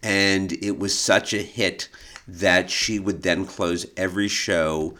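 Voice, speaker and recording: A man's voice: deep voice, steady tone, slow pace, bright, forceful tone. A man hosting an informal podcast, explaining history in detail with an enthusiastic, knowledgeable manner. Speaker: podcast host and expert guide.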